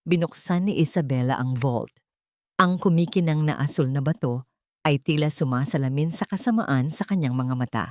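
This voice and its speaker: A woman's voice: low-pitched, darkish tone, dry recording, studio quality. A woman reading a dramatic romance story as a scripted narration.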